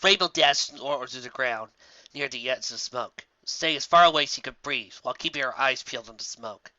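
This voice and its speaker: A man's voice: mid-range voice, normal speed, bright, forceful tone, dry recording, lo-fi sound. A man narrating a fantasy story dramatically, as a reader of fan fiction.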